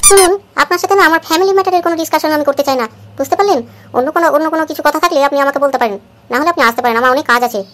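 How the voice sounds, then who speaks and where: A woman playing a bossy working wife who gives brisk orders. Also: sits high, a bit bright, slight background noise. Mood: curt. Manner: brisk, commanding. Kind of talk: comedy sketch acting.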